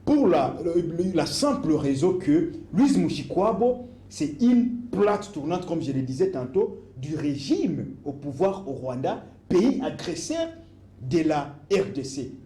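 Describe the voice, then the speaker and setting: A man's voice: a little high, very animated, unhurried, dark tone, roomy sound. A man, a guest in a political interview, stating demands insistently and repeating his phrases. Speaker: activist guest.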